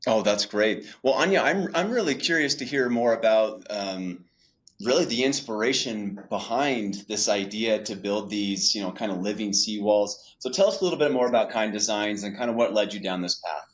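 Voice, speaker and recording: Man, podcast host, deeper voice, conversational questions, slightly muffled recording. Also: moderate pace. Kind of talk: podcast interview.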